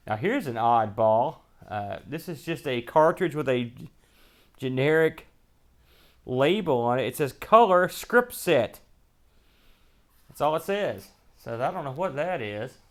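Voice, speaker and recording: A man hosting an unboxing show, giving casual, off-the-cuff commentary in a folksy, colloquial way as he thinks aloud. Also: mid-range voice. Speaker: host of a retro computer unboxing show.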